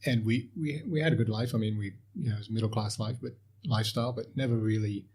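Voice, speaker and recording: Husky low-voiced man, hesitant interview guest. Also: fast, a little mellow. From Asia (Hong Kong, Malaysia, Singapore). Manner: hesitant, many fillers and restarts. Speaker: podcast guest, portfolio manager. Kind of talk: casual interview answers.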